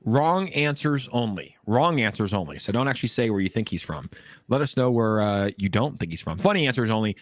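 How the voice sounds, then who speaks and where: A man hosting a casual crypto livestream, excitable and jokey, talking straight to his viewers. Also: lowish voice, fairly quick, no echo. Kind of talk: casual chat.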